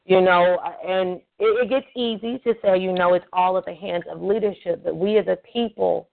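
A woman hosting a call-in radio show, speaking in an earnest, affirming and measured way. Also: on the low side, fairly even intonation, gravelly. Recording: tinny phone line with faint hiss.

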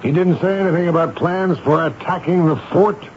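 A man's voice: on the high side, moderate pace, a little hiss or hum, lightly acted. Stern army major in old radio drama, man acting. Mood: dry, probing. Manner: commanding, clipped, dryly sarcastic. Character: stern, sardonic army major interrogating prisoners. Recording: dull lo-fi radio recording with music.

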